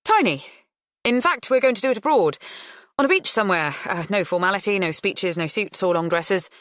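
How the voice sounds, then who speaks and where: Tense, defensive woman engaged to marry, audiobook, acted by a female narrator. Raised pitch, dry. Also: animated, a little fast, a bit bright, dry recording, natural voice. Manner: tense, exasperated. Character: nervous, defensive bride-to-be. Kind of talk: audiobook character dialogue.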